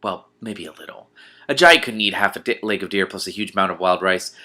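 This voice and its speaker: Expressive, bright-voiced man reading aloud. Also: low voice, fast talker. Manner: expressive, breaks off to react in disbelief. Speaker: online reader who comments on books. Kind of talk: reading a novel aloud with exclaimed commentary.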